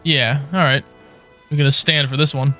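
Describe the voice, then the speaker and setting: A man with a fairly high voice chats through a game on a live stream. His commentary is loose, playful and wry, with faint game music behind it. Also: steady tone, moderate pace, pushed and bright. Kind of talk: casual live gameplay commentary.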